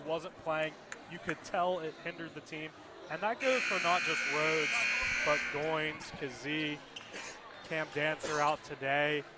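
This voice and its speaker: A man giving measured, analytical color commentary on a live radio basketball broadcast. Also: on the high side. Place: indoor basketball game. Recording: crowd noise at a basketball game. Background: crowd and bouncing ball.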